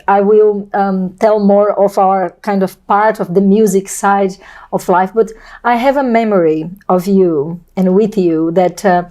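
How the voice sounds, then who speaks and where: A woman's voice: mid-range voice, dark tone. A middle-aged Brazilian woman with a Portuguese-accented way of speaking English, hosting a casual interview in an effusive, wordy manner. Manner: effusive, wordy, repeats phrases. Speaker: host of a video channel.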